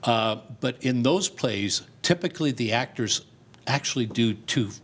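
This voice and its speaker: Husky older man, informal interview guest. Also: mid-range voice, animated, measured pace. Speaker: community theater director and set designer. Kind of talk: conversational television interview.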